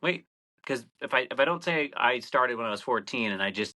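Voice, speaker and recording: Bright-voiced man in his thirties, chatty. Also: medium pitch, fairly quick. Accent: slight Boston. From Massachusetts (Cape Cod). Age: 30s. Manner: rambling, heavy filler "like", animated quotes. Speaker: radio programmer, podcast guest. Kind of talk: casual podcast conversation.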